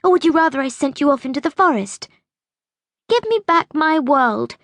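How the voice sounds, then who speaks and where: A woman's voice: high voice, lively intonation, moderate pace, no room sound, voice pushed up. A woman voicing a bitter, insistent young girl in an audiobook story, pleading and accusing with emphasis. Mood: angry, insistent. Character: bitter, demanding young girl pleading with her great-grandmother.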